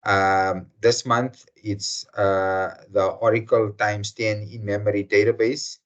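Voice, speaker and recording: Man, host, slow, hesitant, low voice, muffled video call sound. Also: flat delivery, fairly bright tone. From South Africa. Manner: slow and hesitant, many fillers. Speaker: webinar host. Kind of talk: formal welcome to an online session.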